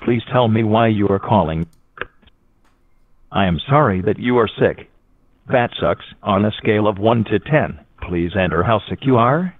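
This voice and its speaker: A synthesized male text-to-speech voice reading automated phone menu prompts in a deadpan robotic manner. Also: lowish voice, moderate pace. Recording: tinny narrow phone line audio.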